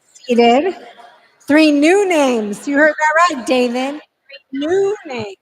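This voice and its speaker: A woman with a high voice welcomes a group and leads a holiday service. She talks in an upbeat, chatty way, full of fillers and restarts. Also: lively intonation, slow, slight background noise, rough recording. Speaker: service leader. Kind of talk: leading a holiday service, casual.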